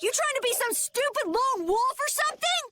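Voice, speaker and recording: A woman voicing an eager, loyal young boy in a video game, speaking with high, emotional earnestness. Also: animated, moderate pace, pushed and bright, full character voice. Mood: angry.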